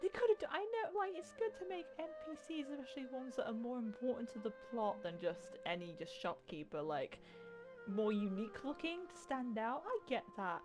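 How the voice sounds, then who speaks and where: A woman's voice: fairly high pitch, lively intonation, fairly quick, music in the background. A woman doing casual gameplay commentary, chatty, sarcastic and prone to swearing. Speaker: gamer, let's player.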